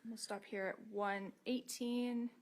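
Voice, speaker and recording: A woman's voice: a little high, steady tone, slow pace. A woman working as an attorney, questioning a witness in court in a methodical, procedural way.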